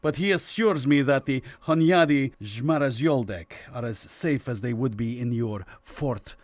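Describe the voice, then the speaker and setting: An actor playing a stern, suspicious Soviet consular official, formal and sceptical, in a radio suspense drama. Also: male voice, mid-range voice, measured pace, no echo, natural voice.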